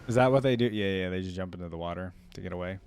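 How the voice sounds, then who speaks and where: A man's voice: low voice, racing, a little mellow, a little hiss or hum. Enthusiastic casual male reaction host. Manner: informal, enthusiastic, thinking aloud.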